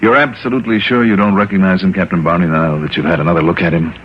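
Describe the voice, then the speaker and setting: An actor playing a cool, persistent, skeptical investigator in a vintage radio drama, measured and probing as he talks and narrates. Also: low-pitched, steady tone, a little hiss or hum, lightly acted. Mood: casual. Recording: dull vintage radio recording with music.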